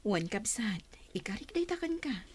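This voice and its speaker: An actress playing a reassuring woman who adopts a baby in a Filipino radio soap, gentle and Filipino-accented. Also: mid-range voice, big pitch swings, moderate pace, a little hiss or hum, close to an everyday voice. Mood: reassuring, earnest.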